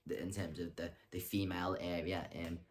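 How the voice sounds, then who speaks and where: A young man vlogging in a casual monologue, rambling and hesitant, with lots of ums and self-corrections and deadpan self-mocking humor. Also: low-pitched, brisk. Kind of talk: casual talking-to-camera monologue.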